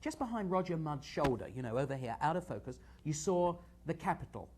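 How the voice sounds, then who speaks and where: A man hosting a live show and analysing TV news, talking to viewers in a halting, conversational, digressive way. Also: on the high side, animated, background noise. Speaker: host and media analyst.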